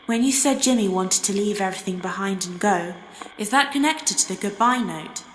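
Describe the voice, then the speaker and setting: A woman's voice: medium pitch, normal speed, slight background noise, close to an everyday voice. An actress playing a careful, probing woman who questions methodically in a horror game. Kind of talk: dramatic horror game dialogue. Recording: roomy echo with music behind.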